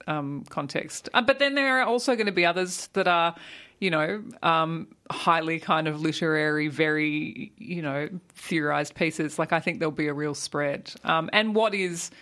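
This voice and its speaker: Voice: mid-range voice, steady tone, moderate pace, sharp tone. A writer who also co-hosts on the station, talking eagerly in a radio studio and rambling with fillers and asides. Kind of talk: enthusiastic radio interview chat. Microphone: studio microphone.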